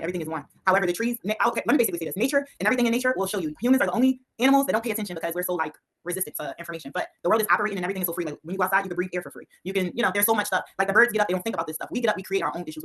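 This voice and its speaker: A woman's voice: on the low side, fairly bright tone. A woman taking part in a workshop, sharing spiritual ideas in an earnest, rambling way. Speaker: workshop participant.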